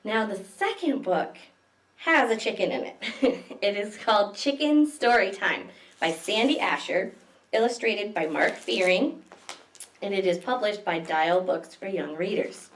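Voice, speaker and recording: A woman's voice: mid-range voice, lively intonation, measured pace. A woman reading picture books aloud to young children as a library story time host, expressively, with questions to her listeners. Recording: echoey room, distant microphone.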